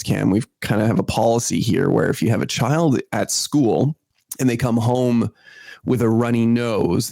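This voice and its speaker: A man's voice: fairly low pitch, vocal fry, a little mellow, no room sound. A Canadian man co-hosting a podcast in casual chat, congested with a cold, often repeating his phrases. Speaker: podcast co-host.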